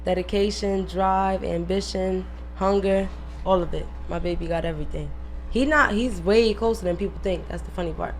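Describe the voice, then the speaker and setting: A woman's voice: mid-range voice, normal speed. A woman speaking candidly and bluntly, full of slang, as a guest in a casual radio interview. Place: radio studio. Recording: noisy radio studio recording.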